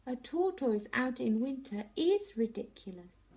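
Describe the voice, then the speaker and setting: A woman's voice: high-pitched, very animated, measured pace, a little mellow, pitched above the performer's own voice, full character voice. A woman voicing a young female tortoise who speaks earnestly and plaintively in a storybook. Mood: downcast. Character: young female tortoise, stubborn then sad.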